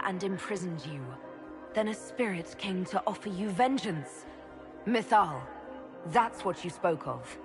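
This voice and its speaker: A woman voicing a young elven mage heroine in a video game cutscene, speaking in an earnest, measured way. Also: mid-range voice, close to an everyday voice. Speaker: game protagonist.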